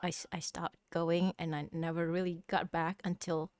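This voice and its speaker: A woman's voice: fairly low pitch, level tone, normal speed, darkish tone, no echo. A woman from Indonesia, an English speaker, answering interview questions hesitantly with many fillers. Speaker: guest, real estate investor. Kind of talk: casual interview.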